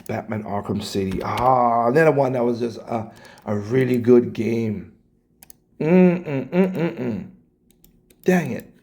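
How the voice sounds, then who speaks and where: A man with a scratchy, hoarse voice, ranting casually in reaction to clips, blunt and swearing, stumbling and repeating his words. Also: mid-range voice, mellow. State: hoarse, coughing. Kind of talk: casual rant.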